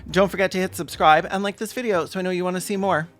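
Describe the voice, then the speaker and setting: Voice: medium pitch, steady tone, fast, faint music behind. A person giving comedic reaction commentary as a video host, sarcastic, campy and theatrical.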